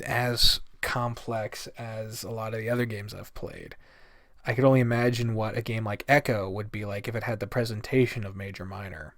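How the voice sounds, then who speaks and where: A man's voice: mid-range voice, dry recording, studio quality. A man giving a casual, rambling game review in a blunt way, swearing freely. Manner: blunt, profane. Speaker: video game reviewer, host.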